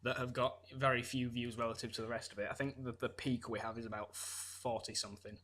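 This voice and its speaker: A man's voice: medium pitch, little pitch movement, brisk, a bit bright. A teenage boy co-hosting a podcast in casual chat, dry and orderly as he works through the running order. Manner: orderly, runs the schedule, dry. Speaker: podcast co-host.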